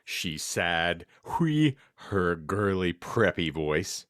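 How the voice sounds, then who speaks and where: A man's voice: sits low, very animated, very slow, fairly bright tone, dry recording, studio quality. A man hosting a comedy podcast, reading fan fiction aloud theatrically with jokey, sweary banter. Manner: jokey, sweary, theatrical delivery of misspelled text. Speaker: podcast host.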